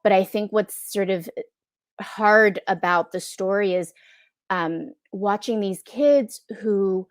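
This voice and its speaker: A woman's voice: mid-range voice, slow, no echo, studio quality. A middle-aged American woman giving an earnest, thoughtful answer on a video-call panel, often checking for agreement. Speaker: film impact producer, guest. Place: indoor room, on a video call.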